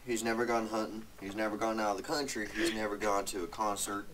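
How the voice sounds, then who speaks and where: A man's voice: lowish voice, fairly even intonation, quick pace. Young man joking, distant mic. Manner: joking, rambling. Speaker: video co-host. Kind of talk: casual banter, reading notes aloud. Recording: noisy, distant microphone with clicks.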